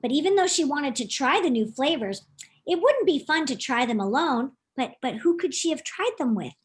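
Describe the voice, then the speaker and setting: A woman's voice: high-pitched, lively intonation, moderate pace. A woman author reading her own children's book aloud, expressively, often putting questions to young listeners. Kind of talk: animated children's storytime narration.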